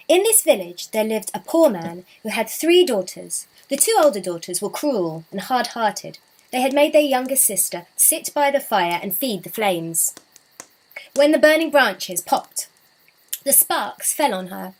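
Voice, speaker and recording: Woman storyteller, fairly high voice, expressive scripted reading, dramatic, deliberate. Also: rough recording. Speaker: children's storybook reader.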